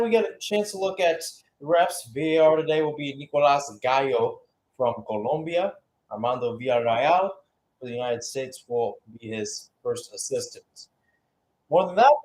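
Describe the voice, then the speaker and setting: A man with a fairly high-pitched voice commentates on football in a live radio style. He works as a play-by-play announcer, delivering the action in short, clipped phrases. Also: darkish tone, poor audio.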